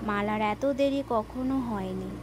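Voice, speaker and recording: A woman's voice: mid-range voice, measured pace, noisy background. A woman telling a scripted story in a dramatic narrating style.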